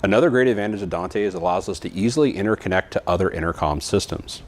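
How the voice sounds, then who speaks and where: A man's voice: low voice, fast, music in the background. A man giving a scripted, methodical product walkthrough in an instructional way, as a trainer would.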